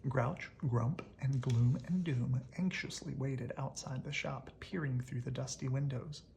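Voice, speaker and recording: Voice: mid-range voice, measured pace, creaky, a little mellow, slight background noise. A storybook reader narrating expressively aloud for children, as if at bedtime.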